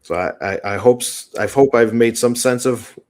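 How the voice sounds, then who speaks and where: A man's voice: medium pitch, moderate pace, darkish tone. A man guest on an interview show, explaining a written analysis in a tentative, thinking-aloud way.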